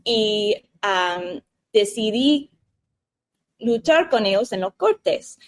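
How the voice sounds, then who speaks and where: An American woman answers interview questions in a second language with an American accent. She talks slowly, often pausing to search for words. Her pitch sits mid-range for a woman. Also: expressive. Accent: American English. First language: English. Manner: hesitant, searching for words, apologetic. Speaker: interview guest.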